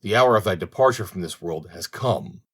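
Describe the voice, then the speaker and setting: A man's voice: low voice, lively intonation, moderate pace, fairly bright tone, husky, exaggerated voice. Storybook Death, played by a male reader: stern male spirit, formal old-fashioned phrasing, solemn authority. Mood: stern, reproachful. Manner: formal, archaic, commanding speech.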